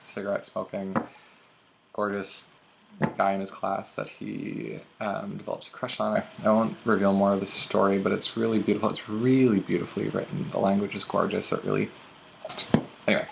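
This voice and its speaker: A young man in a casual vlog, talking with frequent ums and uhs. His voice is heavily gravelly and sits fairly low. A faint hiss of room noise sits under him. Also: monotone, moderate pace, low-quality recording. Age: young adult. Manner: chatty, many ums and uhs. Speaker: booktuber. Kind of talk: casual book tag vlog.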